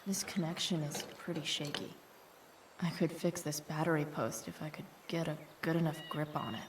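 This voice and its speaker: A woman's voice: low-pitched, little pitch movement, moderate pace, noisy background, natural voice. A woman with an American accent voicing a headstrong, irritable teenage girl in a video game, terse and slangy. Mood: focused muttering. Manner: muttering to herself, terse, slangy. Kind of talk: naturalistic game cutscene acting.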